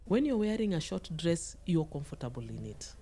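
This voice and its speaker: A woman's voice: fairly low pitch, sing-song, a little fast, warm tone. A middle-aged woman speaking as a podcast guest, giving conversational advice emphatically and repeating phrases for stress.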